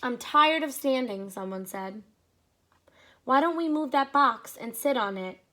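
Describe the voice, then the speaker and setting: A woman teacher reads a children's picture book aloud, taking her time over each phrase. Her voice sits a little high. She pauses now and then for brief asides that explain the story to young listeners. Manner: slow, expressive reading with short asides explaining to children.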